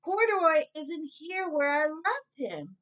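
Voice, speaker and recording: A woman's voice: sits high, very animated, takes it slowly, a bit bright, lo-fi sound, voice pushed up, heavily acted. A woman voicing an earnest young girl in a storybook. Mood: worried. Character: young girl, earnest and worried about her bear. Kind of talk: storybook character voice.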